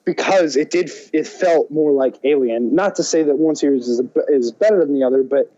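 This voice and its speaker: A man co-hosting a podcast in casual chat, excitable, opinionated and given to rambling. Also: a little high, a little fast. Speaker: podcast co-host. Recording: dull, muffled lo-fi sound.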